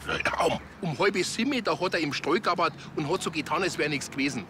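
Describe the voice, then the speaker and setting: An actor with a Bavarian accent playing a grumbling village neighbour, gruff and indignant. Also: male voice, a little high, fairly quick, noisy background, natural voice.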